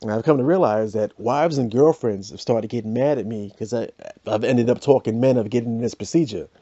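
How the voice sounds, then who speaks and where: A man hosts a podcast with a loose comic monologue, full of "you know" asides. His voice sits in a middling pitch. The recording is dull and lo-fi, very dry and close. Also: a little fast, warm tone, no room sound. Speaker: podcast host.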